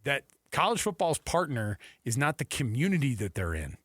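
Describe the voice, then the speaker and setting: A man hosting a sports talk radio show, telling stories and bantering in an animated, emphatic way. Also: medium pitch, moderate pace, no room sound, clean studio sound. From Texas and Seattle. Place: radio studio. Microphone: close studio microphone.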